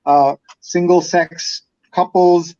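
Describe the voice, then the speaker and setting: Higher-voiced man hosting a casual live stream. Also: steady tone, slow, mellow, no echo, lo-fi sound. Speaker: host of a live newspaper read-along show. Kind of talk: casual live commentary, reading out and chatting.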